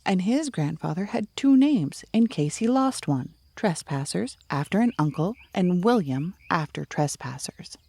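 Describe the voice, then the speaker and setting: A woman narrates a children's storybook. Her voice is mellow and a little husky. The sound is dry, with no room around it. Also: lowish voice, lively intonation, measured pace, few pauses, dry recording. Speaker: audiobook narrator.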